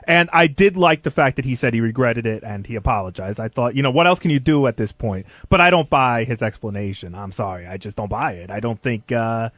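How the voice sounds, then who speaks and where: A man's voice: mid-range voice, fairly quick, few pauses, fairly bright tone, no echo. A man on a radio wrap-up show, arguing his point firmly and emphatically while others talk over him. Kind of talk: heated studio debate.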